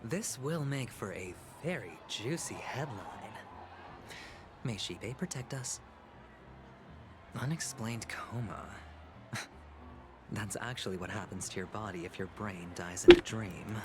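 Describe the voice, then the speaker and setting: An actor playing a smooth, sly young man in a video game who talks in a teasing, playful way. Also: fairly low pitch, animated, unhurried, pauses often. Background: game music.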